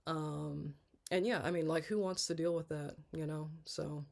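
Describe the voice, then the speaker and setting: A woman in her thirties speaks in a congested, gravelly voice with plenty of vocal fry. Her delivery is flat and even, halting, with frequent restarts as she rambles candidly through a personal vlog. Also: sits low. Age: 30s. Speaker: vlogger.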